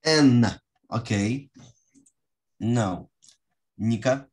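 A woman's voice: rumbling low, drawn-out words, darkish tone. A woman with as her language, teaching an interactive lesson on a video call in short prompts. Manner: short prompts, calls on pupils. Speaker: online English teacher. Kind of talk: casual interactive lesson with word games.

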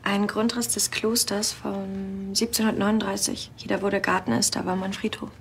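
An actress playing a female police station officer in a TV crime comedy, brisk, polite and businesslike. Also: medium pitch, level tone, gravelly, soft background music, lightly acted. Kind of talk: naturalistic TV crime comedy acting.

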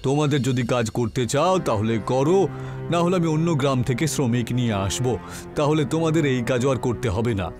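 A man's voice: mid-range voice, fairly quick, natural voice. A man voicing a greedy, scheming middle-aged village landlord in a cartoon, bossy and wheedling. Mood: bossy, scornful. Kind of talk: cartoon dubbing. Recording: music behind the voice.

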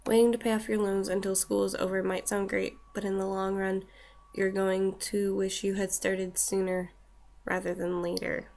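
A woman's voice: mid-range voice, little pitch movement, normal speed, a little mellow. A woman in her twenties chatting to her webcam in a small room, reading from a list with wry asides while a little snuffly from a cold. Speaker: vlogger. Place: small room indoors with shelves. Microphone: built-in webcam mic.

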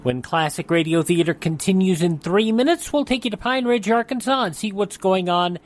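A man's voice: sits high, normal speed. A man presenting a radio show as its host, partly from a script.

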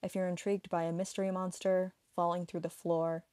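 Woman doing video explainer voiceover, kinda low and relaxed, very dry sound. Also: brisk, no echo. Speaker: narrator of an online video. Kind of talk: scripted explainer narration.

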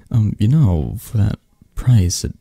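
A possessive yet tender mafia boss, acted by a man for an ASMR romance roleplay, murmurs intimately and teasingly. The delivery is slow and halting, with a husky edge and a close, dry sound. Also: on the low side, mellow, no echo, heavily acted. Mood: affectionate, flirty.

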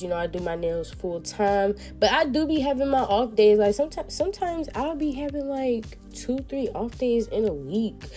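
A woman's voice: medium pitch, a little mellow. A young woman with a congested, stuffy nose, chatting casually to viewers through a nail tutorial. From Texas. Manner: chatty, addresses viewers as y'all. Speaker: nail technician.

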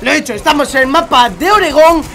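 A young Spanish-accented man with a fairly high voice, nasal and congested from a cold. He rambles casually to his viewers in slangy gamer chat, recorded at home on a headset mic. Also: expressive, fast, fairly bright tone. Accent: Spanish from Spain. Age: young adult. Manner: chatty, slangy, addresses viewers directly. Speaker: gaming YouTuber. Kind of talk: casual gameplay commentary. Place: home room at a gaming setup.

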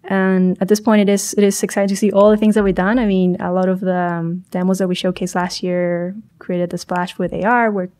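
A young woman from Chile, a startup founder speaking as a podcast guest in a small studio on a boom mic, talking thoughtfully with frequent restarts and self-corrections. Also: little pitch movement, a little fast, hardly any pauses, darkish tone. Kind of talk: podcast interview.

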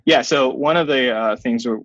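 A man in his thirties gives conversational interview answers in a measured, hedging manner. His voice sits at an average male pitch. The sound is dry and slightly dull, as on a video call. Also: a bit bright, dry recording. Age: 30s. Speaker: software company founder, interview guest. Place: small plain indoor room. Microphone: webcam video call, wearing headphones.

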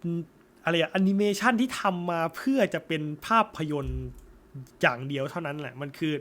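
A young man chatting casually about a film, thoughtful and often pausing to search for words. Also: on the high side, very animated, fairly bright tone. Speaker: co-host.

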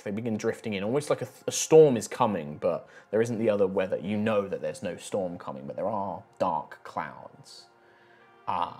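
Man, very low voice, game master, hushed narration, faint music behind. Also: husky. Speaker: dungeon master. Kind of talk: narrating a tabletop game and reading donations.

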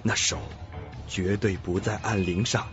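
A man's voice: deep voice, fairly even intonation, a little slow. A man narrating a scripted suspense radio drama in a dramatic, ominous storytelling manner. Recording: dull lo-fi recording with music bed.

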